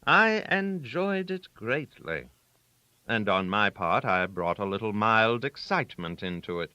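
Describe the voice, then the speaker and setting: A man narrating a literary story aloud, with a theatrical, wry manner and deliberate emphasis on key words. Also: fairly low pitch, lively intonation, bright, forceful tone, dry recording, lo-fi sound. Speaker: audiobook narrator.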